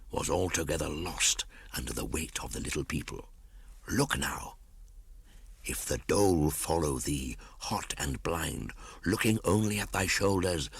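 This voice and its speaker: Guy doing an ancient giant python, sly and wise, slow archaic storytelling, croaky deep voice. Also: dry recording, heavily acted. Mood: cunning, ominous. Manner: archaic, deliberate storytelling, dropping toward whispers. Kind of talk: audiobook storybook character acting.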